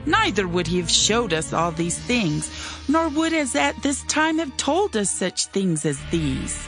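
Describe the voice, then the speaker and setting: A woman's voice: mid-range voice, big pitch swings, unhurried, over music. An actress playing an earnest, reverent Israelite wife and mother in a dramatized Bible reading, with emphatic, solemn phrasing. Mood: calm, reassuring. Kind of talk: dramatized Bible audio acting.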